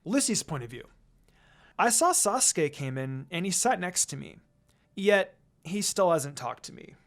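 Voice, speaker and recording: Expressive fan-fiction reader. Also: mid-range voice, measured pace. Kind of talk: first-person story reading.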